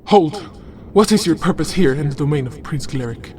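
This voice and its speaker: Man as a high-pitched, stern castle guard. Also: lively intonation, moderate pace, noisy background, lightly acted. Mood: stern challenge. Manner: formal, challenging. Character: stern, dutiful armoured castle guard of ambiguous gender. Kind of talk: scripted fantasy audio story.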